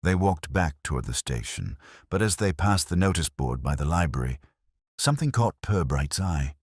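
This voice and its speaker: A man narrates a scripted mystery in a very low register. His delivery moves expressively up and down, with a smooth, resonant tone and no room sound. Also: very animated, moderate pace, no echo, clean studio sound. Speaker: narrator and audiobook-style reader.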